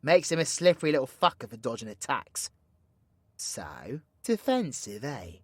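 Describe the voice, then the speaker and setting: A man's voice: medium pitch, big pitch swings, normal speed, dry recording. A British man playing a cocky, foul-mouthed supervillain in an audio roleplay, talking in a slangy, mocking, theatrical way to an ally. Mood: cheeky, instructive. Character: cocky, foul-mouthed male supervillain plotting mayhem, teasingly mentoring ally. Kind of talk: villain roleplay audio drama, intimate monologue to listener.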